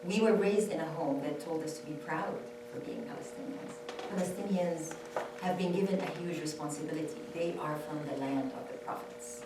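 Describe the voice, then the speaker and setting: Low-voiced woman, echoey hall. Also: brisk, few pauses, recorded from a distance, noisy background. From Saudi Arabia. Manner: earnest, repeats phrases for stress. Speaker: panelist. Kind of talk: personal testimony at a panel. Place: temple hall.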